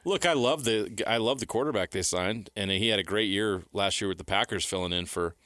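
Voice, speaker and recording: A man's voice: lowish voice, a little fast, fairly bright tone, dry recording, clean studio sound. An American man co-hosting a sports radio show, giving blunt opinions and teasing in casual banter.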